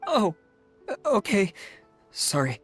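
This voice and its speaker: A man voicing a nervous, pedantically precise civil servant in a video game, halting and awkward. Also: high voice, slightly rough, soft background music, exaggerated voice. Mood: flustered, apologetic. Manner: halting, nervous, monotonously detailed. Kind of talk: English video game voice acting.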